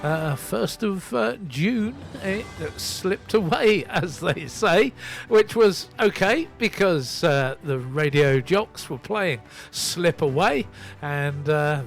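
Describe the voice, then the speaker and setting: A man presents a soul music radio show in a casual, chatty way. His voice sits a little high, and he pokes fun at himself while running through record details. Some music plays behind him. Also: lively intonation, takes it slowly. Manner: chatty, self-mocking, reading out record details. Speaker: radio host and DJ.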